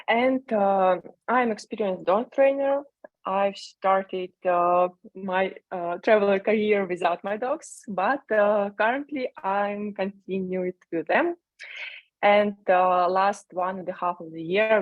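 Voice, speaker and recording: A woman from Russia with a Russian accent presenting slides in non-native English over a video call, in a measured way with pauses. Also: medium pitch, no room sound. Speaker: conference speaker, dog trainer. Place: video call with screen sharing. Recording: dull lo-fi online call audio.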